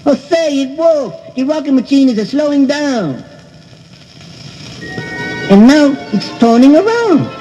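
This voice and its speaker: A man voicing a naive, bumbling astronaut with deadpan, literal-minded humor in a 1960s comedy record. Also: very high-pitched, takes it slowly, pauses often, dull audio, soft background music, heavily acted. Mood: bewildered.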